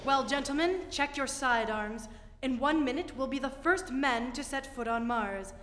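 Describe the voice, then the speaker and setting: A woman playing a stern, commanding spaceship captain, giving brisk orders in a live stage re-enactment of an old radio drama. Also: high-pitched, fairly bright tone, slight background noise. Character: stern, commanding spaceship captain leading a Mars landing party. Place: theatre stage in live performance. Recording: echoey hall, stage recording.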